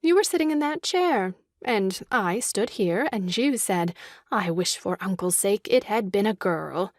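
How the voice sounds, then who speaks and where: An actress playing a dutiful young wife in a comic farce, polite, formal and primly agreeable. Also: female voice, medium pitch, animated, a little slow, no room sound, clean studio sound. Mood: earnestly agreeing. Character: dutiful young wife, sweetly backing her husband's bluff. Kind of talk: comic stage farce acting.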